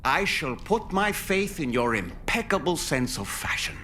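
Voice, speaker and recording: A talkative male companion from a sci-fi game. His voice is bright and mid-pitched, with very animated intonation. He phrases things politely and a little formally, with a wry edge. Faint noise sits behind him. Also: unhurried, full character voice. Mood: wry, playful. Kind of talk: scripted video game character dialogue.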